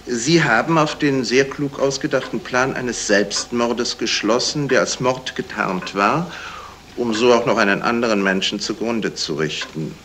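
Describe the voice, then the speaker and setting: An actor playing a polite, shrewd detective in a radio crime play, talking in a measured way with small hesitations. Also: male voice, mid-range voice, fairly bright tone, lightly acted. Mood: composed. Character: courteous, shrewd male detective explaining a murder. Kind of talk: 1970s German radio crime play acting. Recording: noisy vintage radio play recording.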